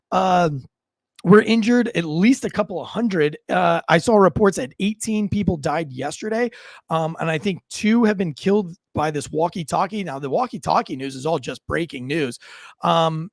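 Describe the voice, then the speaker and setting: A man with a noticeably high voice and an American accent delivers informal news commentary. He talks conversationally, mixing read-out reports with his own asides. The sound is dry and close, with little room in it. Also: dry recording.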